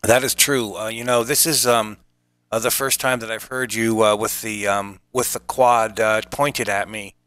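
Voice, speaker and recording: Bright-voiced man, lowish pitch. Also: steady tone, normal speed, dry recording. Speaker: amateur radio operator. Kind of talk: casual ham radio contact.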